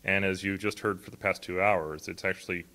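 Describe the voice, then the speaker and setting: Deep voiced middle-aged guy at a podium in a big auditorium, chatty, lots of ums and restarts. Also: fairly even intonation, fairly quick, bright, forceful tone. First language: not a native Hawaiian speaker. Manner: conversational, frequent fillers and restarts. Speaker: conference speaker. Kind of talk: conference talk with slides. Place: large auditorium stage at a podium. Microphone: podium mic, filmed from far back.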